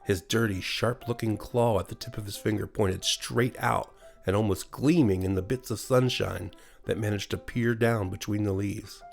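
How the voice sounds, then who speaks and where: A man's voice: lowish voice, fairly even intonation, normal speed, creaky, soft background music. A man with an American accent narrating a scripted bedtime horror story as a storyteller. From USA.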